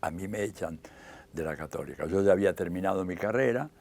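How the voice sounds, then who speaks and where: A man's voice: deep voice, fast, creaky, a little mellow. An older man with a Rioplatense Argentine accent, telling his story in an interview and acting out the dialogue he quotes.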